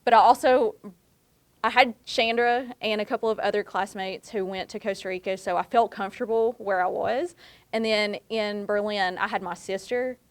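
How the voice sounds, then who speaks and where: A woman's voice: mid-range voice, fairly even intonation, moderate pace. A woman on a panel, talking hesitantly with many fillers and laughing at herself. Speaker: alumni panelist. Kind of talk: panel talk.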